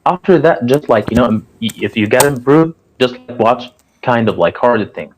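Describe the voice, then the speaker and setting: A man's voice: mid-range voice, animated, moderate pace, slight background noise. A young Egyptian man with an Egyptian accent, a native Arabic speaker, talking as a guest in an earnest way and filling his speech with "like" and "you know". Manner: earnest, filler-heavy with frequent "like" and "you know". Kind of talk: casual conversation. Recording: slightly dull, muffled audio.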